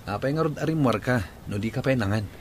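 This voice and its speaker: Man acting a lively, considerate young Filipino. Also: medium pitch, fast, a little hiss or hum, lightly acted. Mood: friendly, concerned. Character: considerate, friendly young man, attentive boyfriend. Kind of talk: Ilocano radio drama acting.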